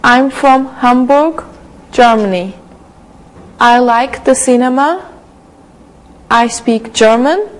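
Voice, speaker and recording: A woman in her twenties speaks slowly in short, simple sentences, as in a classroom role-play. Her voice is high. She is recorded on a TV studio set. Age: 20s. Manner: slow, simple, careful sentences. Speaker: student.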